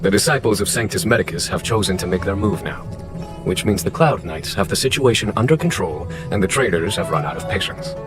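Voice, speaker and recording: A languid male general with a low voice that moves freely in pitch. He is courteous, formal and quietly amused, a composed game commander speaking over music. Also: expressive. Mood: calm, amused. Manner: unhurried, courteous, wry, formal. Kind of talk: scripted video game voice acting.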